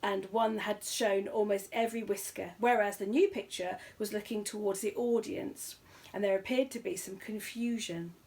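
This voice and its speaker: A woman's voice: mid-range voice, fairly even intonation, moderate pace, a little mellow. A woman reading a children's story aloud as storyteller, in scripted narration.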